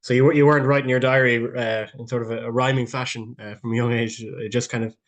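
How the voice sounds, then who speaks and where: A man hosts a podcast interview, circling round his questions with plenty of ums and false starts. His voice is at an everyday middle pitch for a man. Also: little pitch movement, fast. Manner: rambling, many fillers and restarts. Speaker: podcast host. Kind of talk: casual interview hosting.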